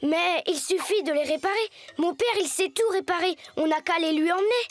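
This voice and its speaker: Very high-pitched plucky little boy. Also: brisk. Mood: determined. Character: plucky, kind little boy turned wooden puppet. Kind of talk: French animated series dub acting.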